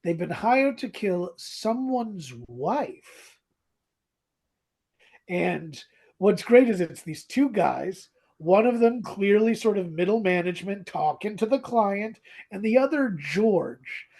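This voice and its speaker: A man co-hosting a podcast, casually retelling a story in an animated way. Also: high voice, slow. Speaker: podcast co-host. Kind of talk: casual chat, retelling a radio drama.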